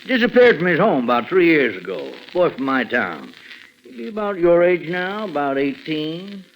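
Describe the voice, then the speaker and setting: A man's voice: a little high, big pitch swings, moderate pace. A man with an American accent playing a folksy, persuasive former small-town police chief in a 1940s radio drama. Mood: probing. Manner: folksy, persuasive, hesitant lead-ins. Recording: faintly noisy 1940s radio recording.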